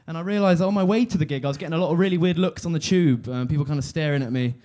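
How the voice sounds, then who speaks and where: A man in his twenties doing a deadpan stand-up set on a comedy club stage, halting with fillers and restarts. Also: fairly high pitch. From London. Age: 20s.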